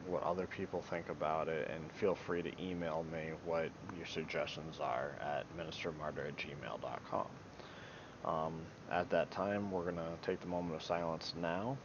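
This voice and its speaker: Gravelly deep-voiced man teaching scripture. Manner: hesitant, many ums, apologetic. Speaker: amateur Bible teacher. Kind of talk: informal Bible teaching talk. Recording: dull lo-fi recording with low rumble.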